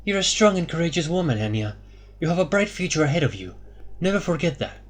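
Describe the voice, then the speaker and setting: A man's voice: high voice, brisk, pitched above the performer's own voice, lightly acted. A man voicing an earnest young heroine in an audiobook, pleading and urgent. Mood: tender.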